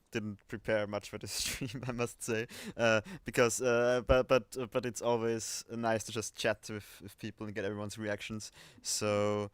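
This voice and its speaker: Low, smooth-voiced man chatting casually. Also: no echo. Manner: hesitant, rambling. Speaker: livestream host. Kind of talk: casual unscripted livestream chat.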